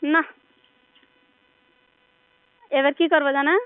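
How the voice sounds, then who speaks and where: A woman's voice: high-pitched, little pitch movement, quick pace, dry recording. A woman chatting casually and flirtatiously on a phone call in a rural Bengali dialect. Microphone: telephone. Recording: muffled narrow-band phone call.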